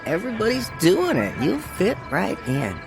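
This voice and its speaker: Pushy, gravelly teenage party boy. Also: high voice, huge pitch swings, normal speed, exaggerated voice. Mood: coaxing. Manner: cool, coaxing, slangy. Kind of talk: radio drama acting. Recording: radio drama with loud music behind.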